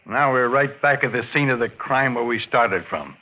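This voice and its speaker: An actor playing an exasperated, slangy American police inspector in 1940s radio style, grumbling with folksy sarcasm. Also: male voice, mid-range voice, moderate pace, close to an everyday voice. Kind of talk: 1940s radio mystery acting. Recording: tinny, noisy 1940s radio recording.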